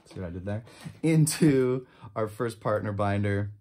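Low-voiced young man, casual and chatty. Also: animated, normal speed, a little mellow, slight background noise. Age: 20s. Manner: chatty, enthusiastic, short exclamations. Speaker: trading card channel host. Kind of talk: casual pack-opening commentary. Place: indoor room with shelves of collectibles.

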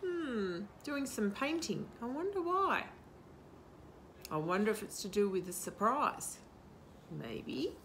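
A woman in her sixties reads to small children outdoors, taking her time. Her delivery is sing-song and very varied in pitch, full of little questions. Faint outdoor noise sits behind her. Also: takes it slowly, long pauses. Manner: animated, sing-song, asking the listener questions. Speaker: children's storytime host. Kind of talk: reading a picture book aloud to young children. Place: outdoor garden patio. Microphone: camera a short distance away.

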